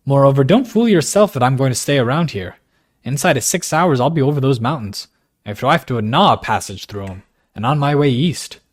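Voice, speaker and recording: A man's voice: medium pitch, huge pitch swings, normal speed. A man voicing an indignant young man who speaks angrily and accusingly. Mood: fierce. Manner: angry, accusing.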